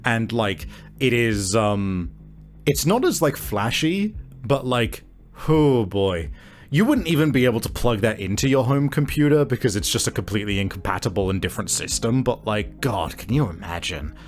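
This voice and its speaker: A man improvising narration as a tabletop game master, halting and informal as he describes things. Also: medium pitch, expressive.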